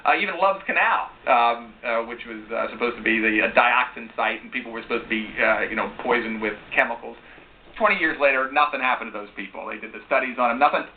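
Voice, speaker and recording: A man speaking as a panelist on a live call-in talk show, explaining things in a rambling, halting way. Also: mid-range voice. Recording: tinny lo-fi broadcast with hiss.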